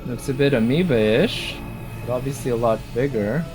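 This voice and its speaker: A man's voice: mid-range voice, normal speed, poor audio. A man giving casual live commentary on a video game, thinking aloud and often questioning what is in front of him. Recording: game music behind the voice. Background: loud video game music.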